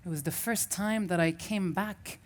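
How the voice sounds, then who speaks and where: A woman's voice: lowish voice, normal speed. A woman from Syria, a native Arabic speaker, giving a reflective personal story as a festival speaker and often restarting as she searches for words.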